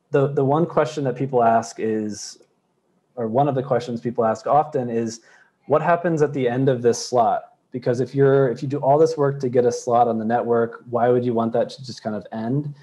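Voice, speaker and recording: A man in his thirties talks through a slide presentation on a webcam video call from a room at home. His voice is mellow, and he explains steadily with frequent "um"s and "kind of"s. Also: mid-range voice, steady tone, moderate pace. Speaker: crypto project presenter. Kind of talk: explaining slides at a virtual conference.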